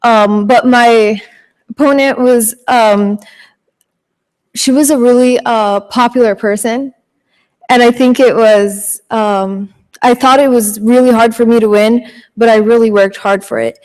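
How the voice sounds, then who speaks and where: A teenage girl telling a personal story on stage, hesitant and filling pauses with ums. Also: on the high side, steady tone, a little mellow. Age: teens. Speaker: student panelist. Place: large auditorium stage. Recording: long hall reverb.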